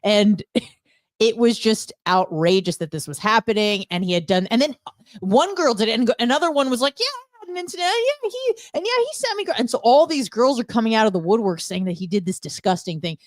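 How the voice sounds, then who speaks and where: A woman's voice: on the high side, animated, a little fast, no room sound, studio quality. A woman co-hosting a radio show, chatting casually and telling candid, gossipy stories with rambling asides.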